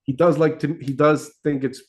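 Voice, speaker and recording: A man speaking as a podcast guest, answering in a hesitant, measured way. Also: fairly high pitch, fairly even intonation. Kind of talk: casual conversation.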